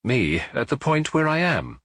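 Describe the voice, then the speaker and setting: Distraught middle-aged French industrialist, male reader acting, proud and defensive, wheezy, husky delivery for detective audiobook. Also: mid-range voice, sing-song, a bit bright, no echo, clean studio sound, pitched above the performer's own voice, full character voice. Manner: halting, defensive answers.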